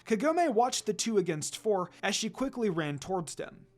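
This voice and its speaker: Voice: mid-range voice, very animated, moderate pace, darkish tone, soft background music. A person reading a fan fiction story aloud as a scripted narration. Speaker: story reader.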